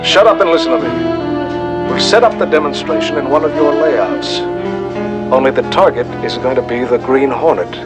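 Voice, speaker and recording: A mid-pitched male gangster boss, played by an actor, ruthless and shrewd. He talks in curt, irritable commands in 1960s television crime style, with loud music behind him. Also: moderate pace, natural voice. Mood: angry, scheming.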